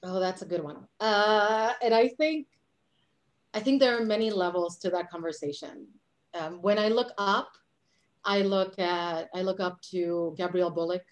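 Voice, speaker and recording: A woman from Puerto Rico in her forties talks with lively hand gestures over a video call from a dim home office. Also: mid-range voice, moderate pace. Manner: animated, gestures a lot with her hands. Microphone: webcam on a video call.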